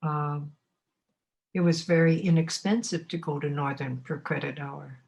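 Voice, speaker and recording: A woman in her eighties from Montana, recalling her life in an oral history interview. She talks unhurriedly and reflectively, often pausing to find her words. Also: low-pitched, fairly even intonation, vocal fry, darkish tone. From Montana, United States. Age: 80s.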